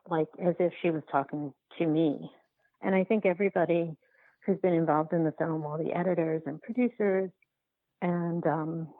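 A woman answering interview questions as a filmmaker, in a halting, conversational way with repeated words. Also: on the low side, gravelly, dry recording, phone-quality sound. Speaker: documentary filmmaker, podcast guest.

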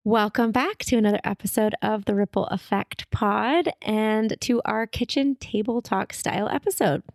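Gravelly-voiced woman co-hosting a podcast. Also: mid-range voice, normal speed, a little mellow, no room sound, studio quality. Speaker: podcast co-host. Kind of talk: casual chat.

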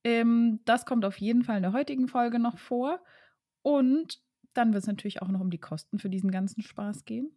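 A woman co-hosting a casual podcast chat, relaxed and giggly as she tells stories. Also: a little high, moderate pace. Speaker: podcast co-host.

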